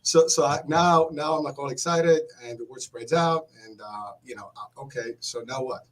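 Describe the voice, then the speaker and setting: A man in his fifties from Morocco, telling his life story in a casual chat, animated and repeating words as he goes. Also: medium pitch, normal speed, low-quality recording.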